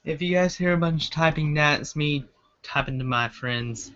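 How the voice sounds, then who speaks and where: Bright-voiced man reading aloud, lo-fi recording. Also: on the high side, normal speed. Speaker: amateur fan fiction reader. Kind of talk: reading a story aloud, with casual asides. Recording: dull lo-fi home recording.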